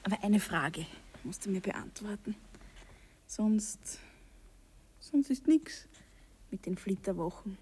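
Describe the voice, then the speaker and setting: Coquettish young Austrian wife, lively pitch. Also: female voice, takes it slowly, pauses often, slight background noise. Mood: coaxing, wheedling. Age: young adult. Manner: coy, wheedling, breathy asides. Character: coquettish, curious young bourgeois wife, teasing and playful. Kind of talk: 1960s German-language radio play acting. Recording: reverberant 1960s radio drama studio recording.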